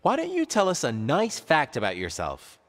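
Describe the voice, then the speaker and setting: A man voicing an upbeat animated quiz show host, with theatrical, emphatic scripted announcing. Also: on the high side, normal speed. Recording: large hall reverb, faint crowd noise.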